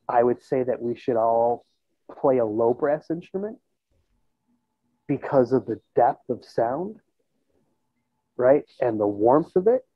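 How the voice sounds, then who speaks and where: A man in his thirties speaks in a husky, mid-pitched voice, casually reflecting as an interview guest. He thinks aloud and often checks in with the listener. The sound is dry and thin, like a phone-quality video call through an earbud mic. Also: no room sound. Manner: conversational, thinking aloud, checks in with "you know" and "right?". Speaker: musician and school band teacher being interviewed. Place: indoors in a plain room on a video call. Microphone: earbud headset. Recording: tinny, band-limited video call audio.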